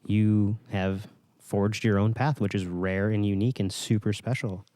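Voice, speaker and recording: Male podcast host, lowish voice, casual and encouraging, thoughtful halting phrasing, very dry close sound. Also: fairly even intonation, mellow, dry recording. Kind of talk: casual interview chat.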